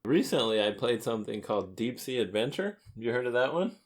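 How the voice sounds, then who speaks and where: A man's voice: medium pitch, sing-song, fairly quick, noisy background. A man co-hosting a podcast, talking casually about board games in a playful, joking way. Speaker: podcast co-host.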